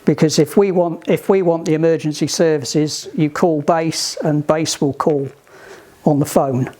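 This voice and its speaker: A man giving informal, practical instruction to a group, halting and often restarting his phrases. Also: on the high side, steady tone, warm tone. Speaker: instructor at a sailing club radio session. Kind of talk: informal instructional talk with questions.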